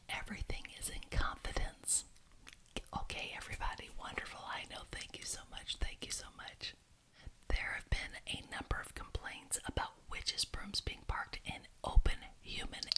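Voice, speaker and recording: A woman whispering breathily in an ASMR roleplay as a polite, officious witch addressing a meeting, deliberately repeating some words. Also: medium pitch, a bit bright. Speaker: ASMR roleplayer as head of a witches' homeowners association.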